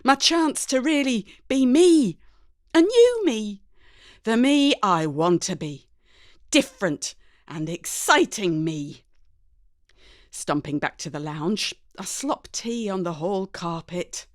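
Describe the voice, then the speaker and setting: A woman with a British accent reading a first-person story dramatically, with an expressive, wry, exasperated manner. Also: medium pitch, slow pace, no room sound, clean studio sound. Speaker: story reader.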